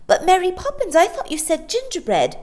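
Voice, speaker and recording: A polite young storybook girl, acted out by a woman, with a high, lilting voice. She is earnest, eager and well-mannered. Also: wildly swooping pitch, a little fast, darkish tone, heavily acted. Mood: puzzled. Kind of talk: children's storybook character voice.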